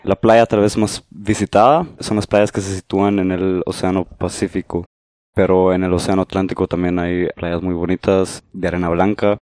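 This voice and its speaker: Guatemalan guy in his 30s, very low mellow voice, calm measured podcast guest. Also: no room sound, clean studio sound. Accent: Guatemalan Spanish. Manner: calm, measured, modest explainer. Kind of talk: casual explanatory interview talk.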